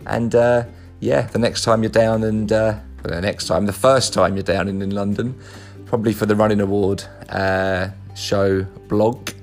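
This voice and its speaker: Wry, low-voiced man with faint music. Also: fairly even intonation, gravelly. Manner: wry, joking, with frequent filler pauses. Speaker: former podcast guest. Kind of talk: recorded congratulatory voice message.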